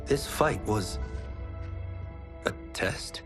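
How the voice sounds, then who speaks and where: An actor playing an earnest, humble young village fighter in a video game. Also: male voice, fairly low pitch, expressive, drawn-out words, over music. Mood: puzzled. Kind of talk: video game cutscene acting.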